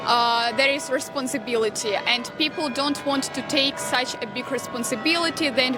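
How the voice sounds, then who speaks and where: An eighteen-year-old woman from Ukraine answers a street interviewer, with a high voice. She speaks in simple, halting phrases with pauses, outdoors with music underneath. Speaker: passerby being interviewed.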